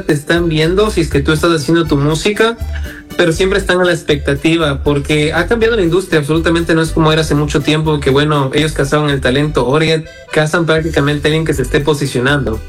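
A man from Quito with an Ecuadorian highland accent, talking casually as a singer being interviewed, in an earnest, encouraging manner. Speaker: independent singer, interview guest.

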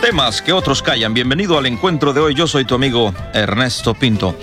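A man's voice: mid-range voice, animated, brisk. A man delivering a scripted, rhetorical program introduction as host, addressing the listener directly and earnestly. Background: music bed.

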